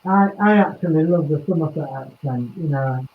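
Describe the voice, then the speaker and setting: A man's voice: a little high, moderate pace, echoey room, narrow, tinny audio, a little hiss or hum. A man from East Yorkshire with a Yorkshire accent, hosting a casual group chat and rambling, with small chuckles between thoughts. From East Yorkshire, England. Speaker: host of a model-building chat.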